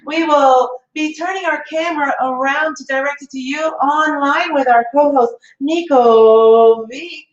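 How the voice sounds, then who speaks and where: A woman's voice: sits high, measured pace, hardly any pauses. A woman hosting a comedy open stage, making loose, playful announcements to the room. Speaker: comedy show host. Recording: roomy, distant, dull sound.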